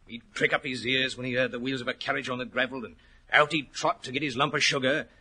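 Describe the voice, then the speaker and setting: An actor playing a worried, plain-spoken racehorse trainer in a 1940s radio drama, earnest and respectful, with rustic turns of phrase. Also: male voice, medium pitch, steady tone, fast, sharp tone, slightly rough. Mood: troubled, confiding. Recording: old 1940s radio recording.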